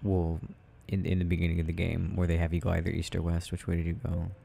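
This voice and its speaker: A low, mellow, creaky male voice acted by a man as a tender boyfriend soothing his partner at night. He murmurs slowly and affectionately, rambling in soft ASMR roleplay style with gentle teasing. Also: monotone, heavily acted. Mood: relaxed, gently rambling.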